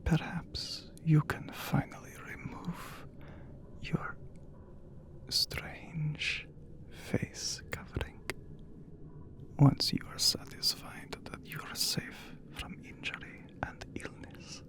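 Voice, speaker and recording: A man playing an ancient, courteous, brooding vampire count in an ASMR roleplay, speaking in a breathy, gravelly hush with formal phrasing and long pauses. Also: a little high, flat delivery. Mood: courteous, solemn. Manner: slow, formal, hushed, with long dramatic pauses. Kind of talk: ASMR romantic roleplay, scripted character acting.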